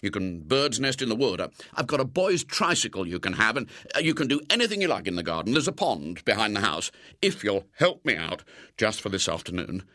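A man's voice: mid-range voice, very animated, moderate pace, fairly bright tone, no room sound, clean studio sound. A man voicing a nervous, flustered middle-aged man who stammers and pleads. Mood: pleading.

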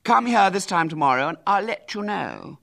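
A spoiled, imperious little boy who talks down to everyone, as a male reader puts him on. His pitch swings widely, with a gravelly edge underneath, and every line sounds self-important. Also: animated, normal speed, a bit bright, full character voice.

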